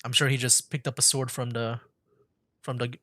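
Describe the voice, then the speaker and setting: A man's voice: mid-range voice, little pitch movement, moderate pace, bright, forceful tone, no echo, studio quality. A man giving casual, amused commentary while streaming a video game. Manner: chatty, amused.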